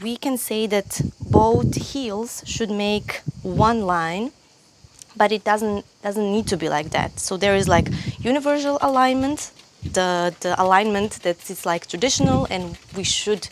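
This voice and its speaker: Croatian-accented woman coaching yoga outdoors. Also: mid-range voice. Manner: patient, step-by-step cueing with frequent restarts. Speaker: yoga teacher. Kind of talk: yoga tutorial instruction. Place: sunny outdoor stone terrace. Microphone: clip-on lapel mic.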